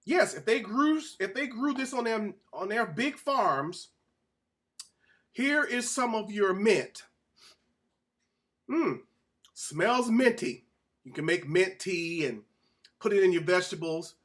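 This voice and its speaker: High-pitched man teaching scripture emphatically. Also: lively intonation, takes it slowly, pauses often, fairly bright tone. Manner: emphatic, repeats phrases for stress, puts questions to listeners. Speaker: Bible teacher presenting a show. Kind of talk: informal teaching sermon with read-out scripture.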